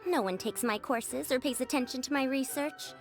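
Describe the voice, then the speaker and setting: A high-pitched old woman scholar, proud and indignant. She holds forth theatrically like a living legend in a video game, over background music. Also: normal speed. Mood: boastful, put out. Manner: theatrical, self-important, grumbling. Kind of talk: video game character monologue. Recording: game audio with music behind.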